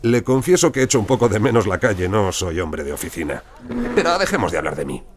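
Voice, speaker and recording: An actor playing a genial, courteous gentleman archaeologist in a Spanish audio drama, with a hearty manner. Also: on the low side, big pitch swings, quick pace. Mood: jovial. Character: genial, gossipy gentleman archaeologist, office-bound colleague.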